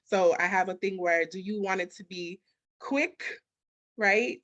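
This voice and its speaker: A woman's voice: medium pitch, unhurried, a bit bright, lo-fi sound. A woman giving motivational, conversational interview answers as a business coach, emphatic, with repetition and rhetorical questions. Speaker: business architect and mindset coach, interview guest.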